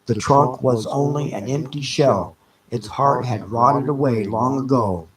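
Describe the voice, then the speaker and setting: Guy narrating an audiobook story, real slow and measured, lots of pauses, mid pitched voice. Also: a crowd in the background, poor audio. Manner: measured, deliberate, pausing between phrases. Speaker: narrator reading a novel aloud.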